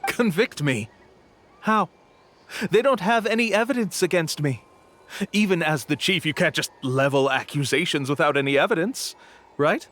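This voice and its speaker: An actor playing a slick, smug, smooth-talking scam tour guide in a video game. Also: male voice, fairly high pitch, normal speed, fairly bright tone, slight background noise, natural voice. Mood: defensive. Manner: smooth-talking, self-assured, taunting.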